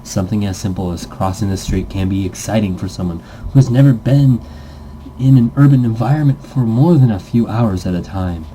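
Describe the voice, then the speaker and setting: A man's voice: on the low side, fairly quick, warm tone, a little hiss or hum, rough recording. A man casually reading a visual novel script aloud as narration, stumbling now and then and correcting himself. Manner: stumbles over words, corrects himself, jokey asides.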